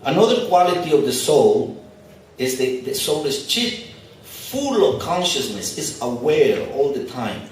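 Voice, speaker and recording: A man's voice: medium pitch, big pitch swings, unhurried, slight background noise. A man whose first language is not English, giving a conversational spiritual lecture with light humor to a live audience at an expo. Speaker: spiritual teacher. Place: large echoey venue at an expo. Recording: very echoey hall, speaker far from mic.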